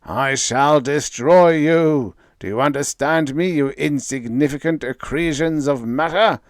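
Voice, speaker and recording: A man's voice: fairly high pitch, no echo, studio quality, voice pushed up. A man voicing an ancient, arrogant supreme intelligence that commands and roars threats. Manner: pompous, commanding, roaring. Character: booming arrogant cosmic intelligence, ancient, furious.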